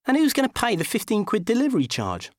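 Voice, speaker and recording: A man's voice: high-pitched, wildly swooping pitch, no echo, clean studio sound, pitched above the performer's own voice. A man voicing a sulky, dim, cocky male best mate who whines and protests in comic audiobook dialogue. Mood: whining. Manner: whining, protesting, quick to take offence.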